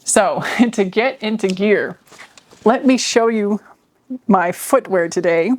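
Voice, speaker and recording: A woman with a deep voice telling a reflective personal story to camera, seated at home by a nearby microphone, laughing softly between phrases. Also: takes it slowly. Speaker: vlogger. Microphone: microphone near her.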